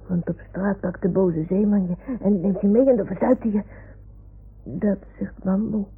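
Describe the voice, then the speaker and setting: A woman's voice: mid-range voice, fairly even intonation, moderate pace, slightly rough. A woman playing an imaginative little boy in a radio play, speaking with childlike earnestness. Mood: hushed wonder. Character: imaginative little boy talking with his toy animals. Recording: muffled phone-like old radio recording.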